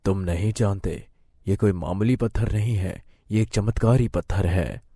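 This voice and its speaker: A man voicing a solemn, benevolent shape-shifting serpent spirit in a cartoon moral story, speaking in a grave, measured and gracious manner. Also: lowish voice, creaky, warm tone, husky, dry recording, clean studio sound, pitched below the performer's own voice. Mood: calm, assuring.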